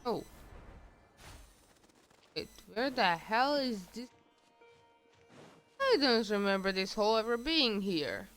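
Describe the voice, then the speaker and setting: A woman's voice: a little high, lively intonation, takes it slowly, long pauses, a bit bright, faint music behind. A woman giving casual commentary as she streams a video game.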